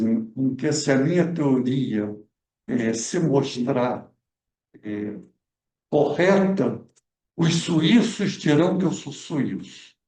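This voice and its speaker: A man's voice: on the high side, dark tone. A man, a guest researcher explaining history at a film club debate, hesitant with many fillers and restarts. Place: event room at a cultural center. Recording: echoey room, speaker far from mic.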